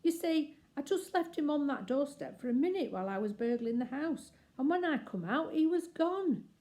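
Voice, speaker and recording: Woman voicing a chatty lady burglar, high-pitched. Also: animated, moderate pace, a little mellow. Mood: emotional. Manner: colloquial, ungrammatical speech. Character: chatty, polite working-class lady burglar, a widowed mother.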